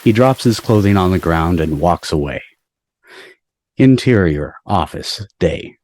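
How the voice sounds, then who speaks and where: A man's voice: low voice, expressive, unhurried, a little mellow, a little hiss or hum. A man on a video call reading stage directions as narrator of an amateur table read.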